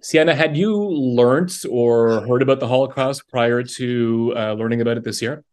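Man interviewer with mid-pitched voice. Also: normal speed, a little mellow. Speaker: interviewer, host. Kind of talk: conversational interview questioning.

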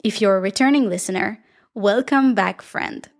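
Brief: young Israeli woman hosting a podcast alone, reflective and upbeat, talking warmly to the listener. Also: medium pitch, normal speed. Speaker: podcast host; dancer. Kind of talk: solo podcast monologue.

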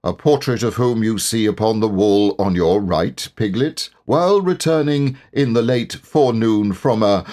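A man voicing a solemn, pompous owl in a storybook, wordy and deliberate. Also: on the low side, mellow, natural voice.

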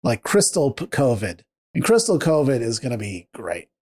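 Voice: medium pitch, sing-song, moderate pace, a little mellow, slightly rough. A podcast co-host in casual book talk, rambling and joking, with many fillers.

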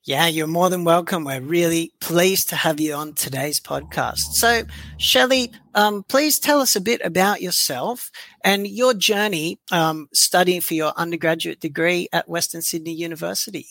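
Gravelly, bright-toned podcast host man. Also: on the high side, normal speed, no echo. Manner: friendly, with frequent ums and restarts. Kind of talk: podcast interview hosting.

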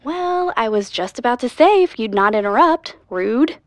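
A woman's voice: a little high, animated, moderate pace, no echo, natural voice. A woman playing an upbeat, persistent young podcast host, chatty and optimistic, in an audio drama. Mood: flustered, pleading.

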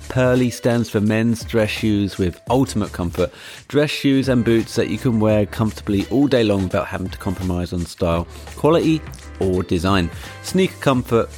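A male host opens the show with a chatty, joking introduction. His voice sits a little low. Faint music plays behind him. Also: a little mellow. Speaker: podcast host.

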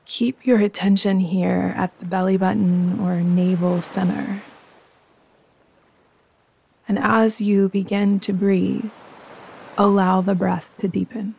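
A woman guiding a yoga nidra meditation in a soft, breathy voice, calm, soothing and unhurried. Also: medium pitch, rough recording. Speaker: meditation guide and host.

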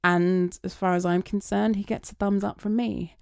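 Gravelly-voiced woman rambling chattily. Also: medium pitch, fairly even intonation, normal speed, no echo, studio quality. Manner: chatty, rambling, goes off on tangents. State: voice getting slightly raspy. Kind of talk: casual reflective monologue.

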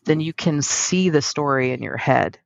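A middle-aged woman hosts a casual podcast conversation with a creaky edge to her voice. She speaks reflectively, in a close, dry recording that sounds a little muffled. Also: low-pitched, moderate pace, no echo. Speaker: podcast host.

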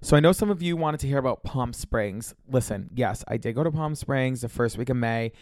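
A man's voice: medium pitch, brisk, darkish tone, no room sound, clean studio sound. A young man hosting his own podcast alone, talking casually in a chatty, profane, gossipy way, his voice a little congested with a scratchy throat. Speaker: podcast host. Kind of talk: casual solo podcast monologue.

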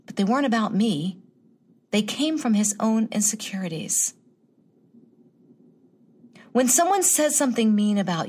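Emphatic, encouraging woman podcast host. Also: fairly high pitch. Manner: emphatic, encouraging, repeats phrases for effect. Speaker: podcast host, motivational coach. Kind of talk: motivational podcast monologue.

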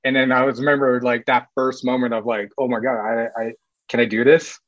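A man in his late thirties, raised in Sweden and California, chatting as a podcast guest in a loose, rambling way full of "like" fillers and quick laughs. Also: mid-range voice, level tone, dry recording, muffled recording. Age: late 30s. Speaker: podcast guest, cycling team runner. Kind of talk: casual interview chat.

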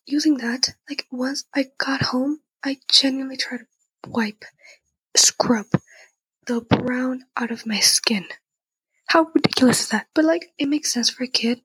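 A nineteen-year-old woman with a husky, breathy voice tells a personal story in a casual, candid, youthful way, repeating phrases for emphasis. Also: high-pitched, unhurried, a bit bright, dry recording, low-quality recording. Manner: youthful conversational, emphatic repetition.

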